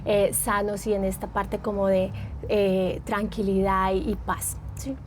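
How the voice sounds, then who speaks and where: A middle-aged woman from Medellín with an Antioquian Colombian accent, speaking as a psychologist guest in a relaxed podcast chat, reflective and quick to laugh. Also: medium pitch, a little hiss or hum. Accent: Antioquian Colombian Spanish. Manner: warm, reflective, laughs often, uses fillers. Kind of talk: casual podcast conversation.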